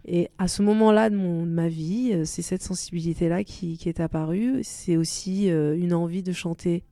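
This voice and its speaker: Soft-voiced woman, halting thoughtful talk. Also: fairly low pitch, fairly even intonation, no echo, studio quality. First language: Arabic. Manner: thoughtful, searching for words with many restarts. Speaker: singer-songwriter guest. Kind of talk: relaxed interview chat.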